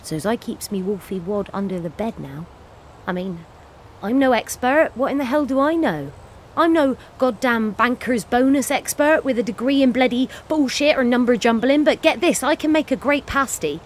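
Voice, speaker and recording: A feisty wild wolf woman, acted by a woman for an audio play, speaks in a fairly high voice. She is an earthy, bitter cleaner who rambles defiantly and swears freely. There is some background noise behind her. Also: expressive, normal speed, close to an everyday voice. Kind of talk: dramatic monologue, audio play.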